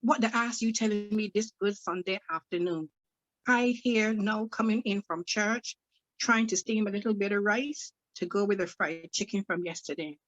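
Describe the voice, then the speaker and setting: A woman's voice: mid-range voice, a bit bright, no room sound, lo-fi sound, lightly acted. Woman doing a fiery Barbadian mum. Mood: scolding. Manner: rapid, exasperated questioning, old-fashioned sayings. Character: scolding hot-tempered older Barbadian mother.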